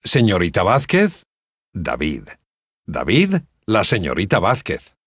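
An actor playing a courteous adult male teacher in a language-course dialogue, speaking slowly, clearly and politely. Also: low-pitched, wildly swooping pitch, no echo, studio quality, full character voice. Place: dry studio.

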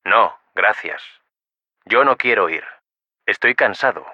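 A man's voice: on the low side, expressive, slow, bright, forceful tone, dry recording, muffled recording, full character voice. An actor playing a curious, friendly young man in language-course dialogues, chatty and full of questions. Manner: chatty, inquisitive. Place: dry studio.

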